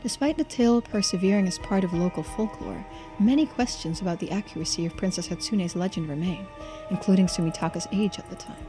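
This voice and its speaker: A woman streaming a game, reading its text aloud in a measured way with muttered asides and small stumbles. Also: medium pitch, over music.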